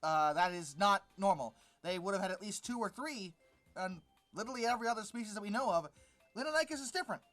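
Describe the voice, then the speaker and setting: Animated, sarcastic commentator with music underneath. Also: medium pitch, quick pace. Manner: exasperated, sarcastic, emphatic. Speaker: video host. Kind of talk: informal reaction commentary on a read-out article.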